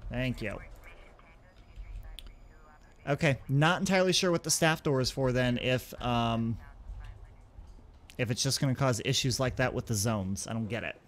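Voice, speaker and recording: A man's voice: mid-range voice, unhurried, pauses often, fairly bright tone. A man gives casual let's-play commentary as a gaming host, thinking aloud and talking through each step.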